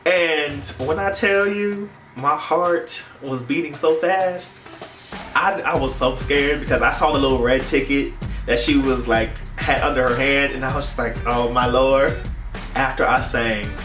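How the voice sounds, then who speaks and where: A man's voice: medium pitch, measured pace. Echoey, casual young American man storytelling. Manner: animated storytelling, acting out quoted dialogue. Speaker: vlogger. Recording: roomy echo, distant mic, faint music.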